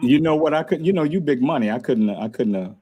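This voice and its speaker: A man's voice: fairly high pitch, lively intonation, fast talker, dark tone, husky. A man hosting a casual podcast, talking in a laid-back, grateful way and laughing often. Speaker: podcast host. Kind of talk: casual chat.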